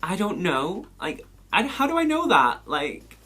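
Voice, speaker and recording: A young man with a Mancunian accent chatting casually as a beauty vlogger, rambling through questions with lots of "like" and sudden high exclamations. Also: wildly swooping pitch, measured pace, pushed and bright, husky. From England. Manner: chatty, rambling, full of "like" and "you know"; pitch leaps up sharply when exclaiming. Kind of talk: casual chat answering a question tag.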